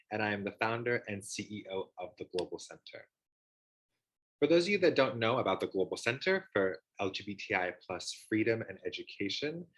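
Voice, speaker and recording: A man's voice: lowish voice, a bit bright, breathy edge, rough recording. A man with an upbeat, enthusiastic manner, hosting an online panel discussion over a video call. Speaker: host, organization founder.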